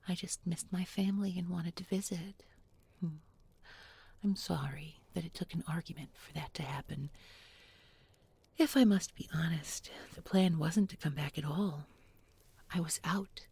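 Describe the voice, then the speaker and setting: A woman playing a tender, bookish young village girl in an intimate audio roleplay, speaking softly and gently, often in a whisper. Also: on the low side, no room sound, exaggerated voice. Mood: soft, confessional. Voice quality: soft, breathy, often whispering. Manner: slow, gentle, murmured with lingering pauses.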